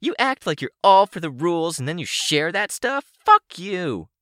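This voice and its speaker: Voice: medium pitch, big pitch swings, moderate pace, a bit bright, no echo, clean studio sound, pitched above the performer's own voice. A narrator voicing a furious, taunting young female supervillain with a shrieking, sneering, profane delivery.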